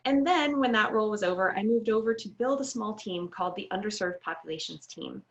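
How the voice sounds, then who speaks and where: A woman's voice: mid-range voice, fairly quick. A woman speaking as a panelist, giving a professional introduction of herself and her work.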